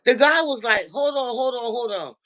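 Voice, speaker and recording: A man with a deep, nasal voice who calls into a livestream and tells a story, acting out what other people said. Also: moderate pace. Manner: animated storyteller, acts out quoted dialogue. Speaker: regular viewer calling into a livestream. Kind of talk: casual chat, retelling an online argument.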